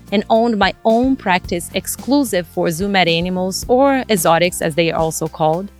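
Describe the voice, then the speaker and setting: A woman in her forties from Brazil, speaking English with a Brazilian Portuguese accent and hosting a podcast in an earnest, measured way. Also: mid-range voice. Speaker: podcast host. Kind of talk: scripted podcast intro and casual chat.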